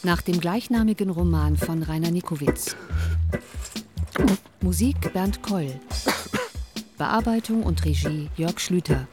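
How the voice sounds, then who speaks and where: An announcer reads radio play credits. The delivery is very slow and measured, in a medium register, with prominent music behind. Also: mid-range voice.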